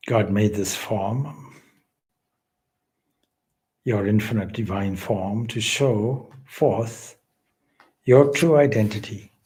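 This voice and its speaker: A man leading a guided meditation as a spiritual teacher, speaking in measured, deliberate, solemn phrases with long pauses. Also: lowish voice, darkish tone.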